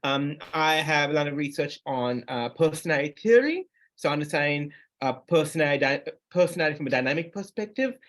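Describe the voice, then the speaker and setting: A man's voice: a little high, expressive, a little fast, a bit bright. A middle-aged man from Sri Lanka giving an academic seminar lecture on psychology, speaking with frequent restarts and rising emphasis.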